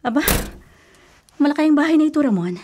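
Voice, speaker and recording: A picky Filipina bride-to-be in a radio drama, high-voiced and very expressive in intonation. She is skeptical, blunt and questioning, with faint noise behind her. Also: fast. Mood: skeptical, fussy. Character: fussy, skeptical, superstitious bride-to-be.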